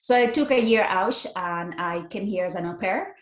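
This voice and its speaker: A woman's voice: medium pitch, expressive, normal speed. Podcast guest: Spanish-accented woman, young adult, chatty with restarts, roomy echo. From Spain. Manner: chatty, with frequent restarts and fillers. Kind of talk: casual interview chat.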